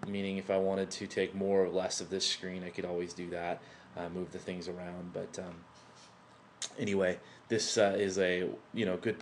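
A man's voice: deep voice, a little hiss or hum. A man talking through a computer tutorial into his laptop's built-in microphone, rambling with many fillers and restarts. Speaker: tutorial presenter. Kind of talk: unscripted screen-recording tutorial.

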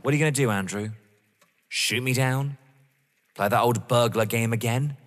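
A man acting a defiant, contemptuous, wounded rival in an audio drama, husky and emphatic. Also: medium pitch, moderate pace, slight background noise, close to an everyday voice. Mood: wounded defiance. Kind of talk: dramatic stage-play acting. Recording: long echoing reverb.

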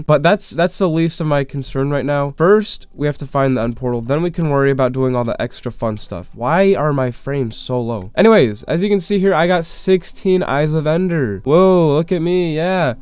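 A man with a slightly congested voice, talking excitably through his gameplay and breaking into quick, frustrated outbursts. His voice sits fairly high yet stays smooth and clear, recorded close with no room echo. Faint game music plays underneath. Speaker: gaming YouTuber. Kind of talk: casual gameplay commentary.

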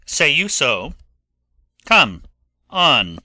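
A man plays a proud, intense young nobleman in a Shakespeare audiobook. The voice is piercingly bright and very slow, recorded dry. The delivery is theatrical. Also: a little high, sing-song, dry recording, heavily acted. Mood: proud, combative. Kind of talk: dramatic Shakespeare audiobook reading.

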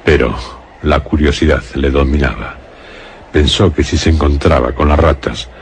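A man with a Castilian Spanish accent narrating a suspense radio drama in a grave, measured storytelling manner. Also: extremely low pitch, creaky, a little hiss or hum.

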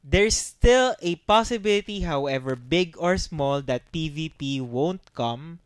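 A young man co-hosting a casual podcast, talking quickly and laughing as he thinks out loud, into a handheld mic in a small padded room. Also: on the high side, animated, clean tone, no room sound, clean studio sound. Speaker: podcast co-host. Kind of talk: casual chat. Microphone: handheld mic with headphones.

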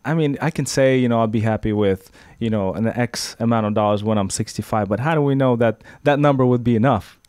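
A man in his thirties chatting in a relaxed, easygoing way on a podcast about property, recorded on a boom mic in a small studio. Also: brisk, few pauses, clear, resonant tone, no room sound. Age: 30s. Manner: relaxed, easygoing, lots of agreeing. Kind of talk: casual podcast chat. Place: small studio with brick wall. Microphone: boom mic on arm.

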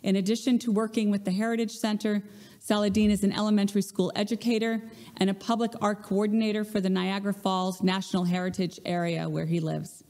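An older woman with a mid-range, warm and mellow voice reads a steady, formal introduction into a lectern mic. She is in a large church hall. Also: medium pitch. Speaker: event introducer. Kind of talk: reading a speaker introduction. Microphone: stand mic at a lectern.

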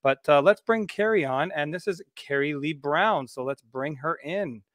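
A man in his forties hosting a casual video podcast, chatty and relaxed, with plenty of fillers. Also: a little high, moderate pace, dry recording, clean studio sound. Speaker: podcast host. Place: home studio, indoors. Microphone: studio mic on a video call.

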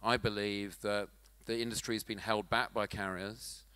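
A man in his forties moderating a conference panel on a handheld mic, brisk and steering the talk. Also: fairly low pitch, little pitch movement, sharp tone, clean tone, dry recording. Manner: brisk, laughs easily, steering the chat. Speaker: panel moderator and company chairman. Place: large conference hall stage.

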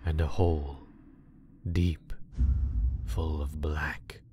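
A man narrating a dark scripted story in a brooding way, with dramatic pauses. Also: a bass voice, little pitch movement, noisy background. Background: background music.

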